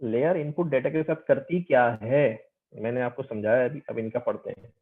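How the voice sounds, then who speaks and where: A man's voice: medium pitch, normal speed, husky, phone-quality sound. A man giving an explanatory lecture as a teacher, conversational, mixing Hindi-Urdu with English and checking understanding with questions.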